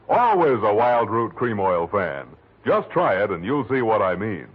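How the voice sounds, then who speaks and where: A man announcing and pitching a sponsor in polished, emphatic 1940s radio style. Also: slightly rough. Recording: muffled vintage radio broadcast with music.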